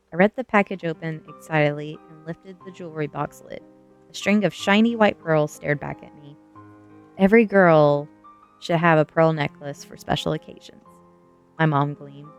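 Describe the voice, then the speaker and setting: A woman streamer with a soft, gentle delivery, reading a book aloud in an ASMR style and chatting casually to viewers. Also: sits low, smooth. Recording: quiet music behind the voice. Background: faint background music.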